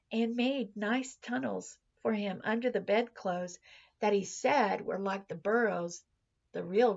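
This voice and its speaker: Female storybook reader, expressive teacherly narration, gravelly fry in the voice, slightly muffled lo-fi sound. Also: mid-range voice. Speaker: teacher reading a children's story aloud.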